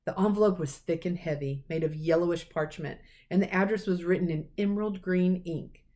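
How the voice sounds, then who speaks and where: A woman reading a children's storybook aloud as a teacher, with expressive narration. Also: lowish voice, brisk. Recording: clearly noisy recording with clicks.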